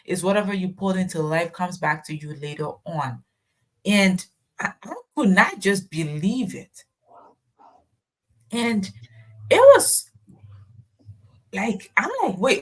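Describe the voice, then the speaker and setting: A woman's voice: mid-range voice, rough recording. A woman from Ghana, a podcast guest, talking in a reflective way and telling personal stories. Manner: reflective, tells personal anecdotes. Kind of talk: conversational storytelling.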